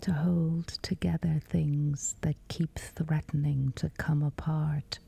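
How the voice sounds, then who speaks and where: A woman leads a guided sleep meditation in a very dark, mellow voice with a creaky edge. She speaks in a hushed whisper, extremely slowly, leaving long pauses between phrases. The delivery is scripted and unhurried. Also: low voice, little pitch movement.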